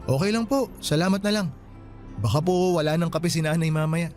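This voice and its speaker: An actor playing a friendly Filipino night guard who talks respectfully and deferentially in a radio drama. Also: male voice, fairly high pitch, fairly quick, darkish tone, soft background music, lightly acted. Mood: friendly, curious. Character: polite, friendly male night guard named Melo.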